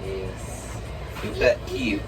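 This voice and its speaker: A man's voice: low-pitched, fairly even intonation, slow pace, loud music behind the voice. A young man chatting playfully and animatedly with a friend on a trip. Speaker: travel companion. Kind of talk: casual chat.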